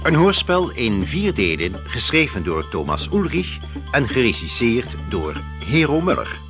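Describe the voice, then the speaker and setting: A man's voice: mid-range voice, huge pitch swings, unhurried. A man announcing a radio play in a formal, scripted style. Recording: loud music behind. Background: music.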